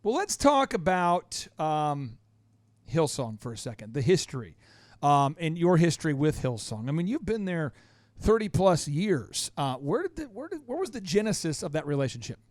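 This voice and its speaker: A man's voice: a little high, sing-song, normal speed, no room sound. A man hosting a business talk show, joking and self-deprecating as he interviews a guest, in a dry studio recording. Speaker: podcast host. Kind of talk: energetic show intro and interview.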